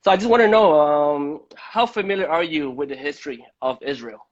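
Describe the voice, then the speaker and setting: A man from Malaysia hosting a conversational live-stream interview, speaking haltingly and often repeating words. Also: fairly high pitch. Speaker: host of a live debate. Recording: dull, lo-fi live-stream audio.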